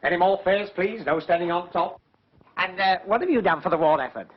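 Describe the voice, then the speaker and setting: An actor playing a cheeky, joking male partner in an escort agency, full of quick wisecracks and tongue-twisted banter, in a 1940s British film comedy. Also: high-pitched, breathy edge. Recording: dull 1940s film soundtrack.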